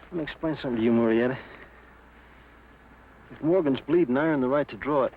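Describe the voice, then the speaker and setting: A man's voice: mid-range voice, fast, natural voice. A man playing a touchy, defensive gambler in a Western drama, talking in a folksy, confrontational way. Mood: challenging. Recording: dull lo-fi recording, faint noise.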